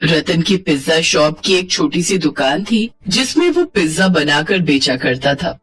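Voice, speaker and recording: A woman's voice: low-pitched, very animated, unhurried, a bit bright, soft background music. A woman narrating a scripted moral bedtime story as a storyteller.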